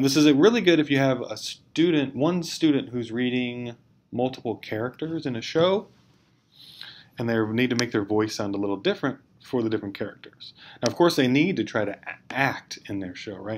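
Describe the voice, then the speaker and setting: A man's voice: mid-range voice, brisk. A man narrating a casual instructional screencast, explaining step by step in an informal, hesitant way. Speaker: teacher giving software tutorial. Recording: clear background noise.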